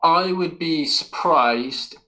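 Guy hosting a footy podcast, bright clear-cut sound, rambles on keenly about old games. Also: fairly high pitch, slow pace, poor audio. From Wales. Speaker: football podcast host. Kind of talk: casual sports chat.

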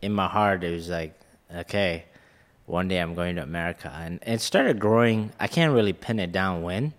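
A man's voice: low-pitched, normal speed, in a large hall. A man in his late thirties, born in India and raised in Ghana, earnestly telling his life story as a podcast guest. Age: late 30s. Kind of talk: casual interview storytelling.

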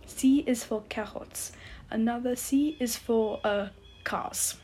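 A woman acts out a tiny, manic male storybook creature with an over-the-top French accent. He is pompous and theatrical, declaiming with loud, excitable self-importance. The delivery is slow and emphatic, with clear outdoor noise behind it. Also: on the high side. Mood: grandiose, boastful. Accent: exaggerated French. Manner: shrieking, bouncing, pompous declamation.

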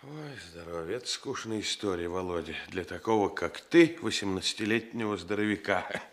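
A man's voice: lowish voice, expressive, moderate pace. An actor playing an ailing, grumbling but friendly older policeman in a radio play. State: groaning in pain. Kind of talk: Soviet radio play acting.

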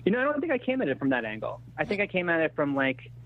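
Halting man in his thirties, phone-like sound. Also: a little high, huge pitch swings. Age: 30s. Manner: halting, self-deprecating, thinking aloud. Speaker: screenwriter, interview guest. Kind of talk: casual interview chat. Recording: thin phone-like radio-style sound.

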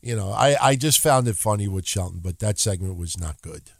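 A man's voice: lowish voice, brisk, vocal fry, dry recording. A man co-hosting a podcast, ranting in casual chat and swearing often. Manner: profane, ranting, animated. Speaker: podcast co-host.

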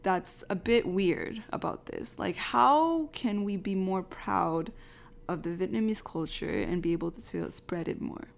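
A woman's voice: on the low side, a little slow, gravelly. A woman from a youth community group speaking earnestly in a casual discussion, with many fillers as she searches for words.

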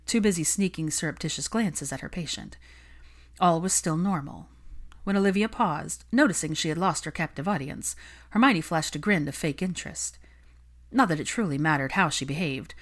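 Casting: female fiction narrator, lower register, lively intonation, scripted audiobook reading.

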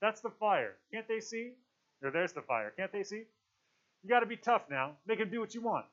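A squeaky, anxious boy voiced by a male narrator in a storybook, high and very animated in pitch. He is sensible but indignant, protesting and pleading in rough grammar. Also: normal speed, muffled recording. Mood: urgent, alarmed. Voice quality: trilling, squeaky when upset. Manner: ungrammatical, protesting speech.

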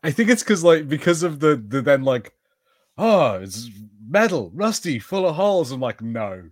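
A man co-hosting a casual film podcast, explaining in halting bursts and acting out mock quotes. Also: fairly high pitch, very animated, dry recording, studio quality. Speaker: podcast co-host. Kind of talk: casual film watch-party banter.

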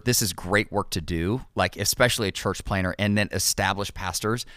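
A man's voice: on the low side, sharp tone, smooth, dry recording, clean studio sound. A male podcast host and pastor in casual conversation, encouraging listeners in a sermon-like cadence.

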